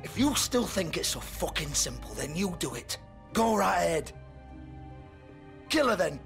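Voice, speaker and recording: Slow, bitter, dying crook. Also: male voice, high-pitched, very animated, creaky, fairly bright tone, exaggerated voice. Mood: bitter, goading. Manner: slow, sweary, emotionally volatile. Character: foul-mouthed wounded crook, bitter and desperate, dying. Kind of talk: dramatic video game acting. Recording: music playing behind.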